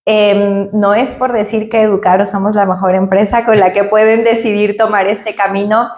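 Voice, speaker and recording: A woman's voice: mid-range voice, flat delivery, moderate pace, a little mellow. Woman explaining in an echoey room. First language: Spanish. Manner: explains with analogies, frequent tag questions. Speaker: company director. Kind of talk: interview answers.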